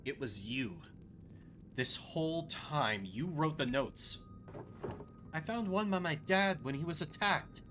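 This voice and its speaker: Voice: medium pitch, expressive, slow pace, fairly bright tone, slight background noise. A game player voicing an earnest, troubled young woman who questions directly and probingly, over music. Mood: accusing. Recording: music under the voice.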